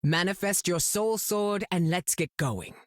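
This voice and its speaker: An actress playing a regal, composed mentor heroine with stately, formal speech in a video game. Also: female voice, fairly low pitch, unhurried, no room sound, clean studio sound, lightly acted. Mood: composed, serious. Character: regal, composed weather-wielding mutant mentor woman. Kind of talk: video game character acting.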